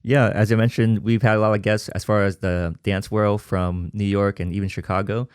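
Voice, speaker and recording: A man hosting a podcast, with a fairly low voice recorded dry and close to the mic. He asks his questions in a relaxed, friendly way. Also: normal speed, clear, resonant tone, dry recording. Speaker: podcast host. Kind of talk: interview conversation.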